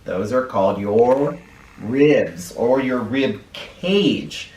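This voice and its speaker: Male children's book reader. Slow, lively sing-up-and-down delivery, instructive and direct, heard a little away from the mic. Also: medium pitch. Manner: expressive, instructive, addressing young listeners directly. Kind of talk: animated read-aloud of a children's picture book, with asides. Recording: roomy echo, distant from the microphone.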